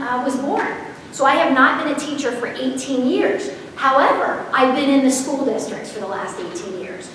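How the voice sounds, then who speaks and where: Loud unmiked woman in an echoey hall. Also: high voice, slight background noise. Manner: loud, energetic, unmiked voice projecting to a room. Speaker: parenting seminar speaker. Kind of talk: live seminar talk to parents. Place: school auditorium or large hall. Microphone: camera far off, speaker not miked. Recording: echoey hall recorded from far off.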